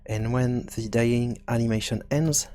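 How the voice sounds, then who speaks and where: A man giving an informal software tutorial, thinking aloud in a halting, self-correcting way. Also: mid-range voice, fairly even intonation. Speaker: tutorial presenter.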